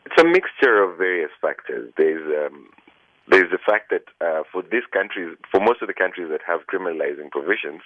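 A man with a strikingly deep, low voice answers interview questions over a tinny phone line. He speaks earnestly, pausing on fillers and restarting his phrases as he gathers his thoughts. The line is dry, with no room sound around him. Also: no room sound. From Kenya. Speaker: human rights lawyer, interviewee.

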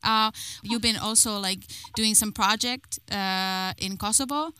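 Smooth-voiced woman interviewer. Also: medium pitch, unhurried, sharp tone, dry recording. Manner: plain questions with some hesitations. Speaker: music journalist and host. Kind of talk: phone interview questions.

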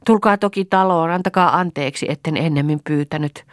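Reader doing a high excited farm woman, fussing and calling out, dry close sound. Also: no room sound, natural voice. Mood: effusive. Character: warm emotional peasant woman.

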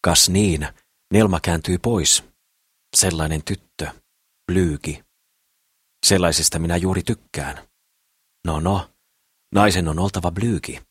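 A man's voice: low-pitched, long pauses, slightly rough, dry recording, studio quality. A man voicing an eager, boastful, simple builder in love, rambling and slangy, in an audiobook. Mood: eager, boasting. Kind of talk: audiobook character voice.

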